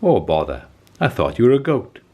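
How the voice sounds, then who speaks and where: Storybook troll, played by a man: grumpy, hungry, gruff bellower, low-voiced and very animated. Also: moderate pace. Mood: disappointed. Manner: roaring, bellowing challenges and gruff grumbles. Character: grumpy, hungry male troll lurking under a bridge. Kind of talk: children's storybook character voice.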